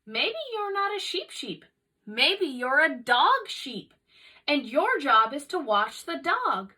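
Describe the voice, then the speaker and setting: A woman's voice: high voice, very animated, slow pace, pushed and bright, full character voice. A woman voicing a patient, plain-spoken male sheepdog in a children's storybook, brief and matter-of-fact. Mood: gently explaining. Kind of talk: children's storybook read-aloud.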